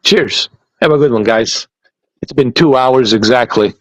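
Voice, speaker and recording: A man's voice: mid-range voice, measured pace, no room sound. A man hosting a laid-back podcast. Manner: laughs often, swears freely. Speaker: streamer and podcast host. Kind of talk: casual chat.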